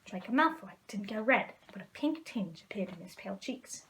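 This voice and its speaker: A woman's voice: medium pitch, lively intonation, normal speed, a bit bright, a little hiss or hum. A woman reading a storybook aloud as a storyteller. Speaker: storyteller in character as a witch. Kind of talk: storybook read-aloud narration.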